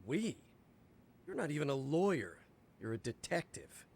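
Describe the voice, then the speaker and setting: A game prosecutor whose fairly high voice rises and falls with lively, sarcastic emphasis. He talks down to the defense with haughty condescension. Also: measured pace, creaky, a little hiss or hum, heavily acted. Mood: condescending.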